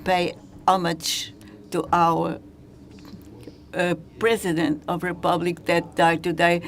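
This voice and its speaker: Slow, flat, gravelly female interpreter. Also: on the low side, slight background noise. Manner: halting, hesitant phrasing. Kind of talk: simultaneous interpretation.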